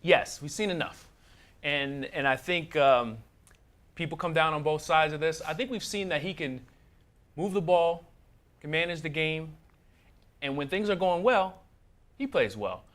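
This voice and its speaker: Measured male football analyst. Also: a little high, lively intonation, fairly bright tone. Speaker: football editor, panel guest. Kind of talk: studio sports talk show. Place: TV studio.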